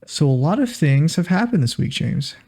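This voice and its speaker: A man's voice: on the high side, sing-song, normal speed, creaky, darkish tone. A man hosting a casual comedy podcast, chatting in a joking, teasing, mock-earnest way. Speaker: podcast host.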